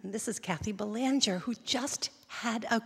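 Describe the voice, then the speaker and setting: A woman's voice: on the high side, normal speed, creaky. A middle-aged woman moderating a panel, introducing guests in a chatty, familiar, informal way. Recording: reverberant hall, faint background noise.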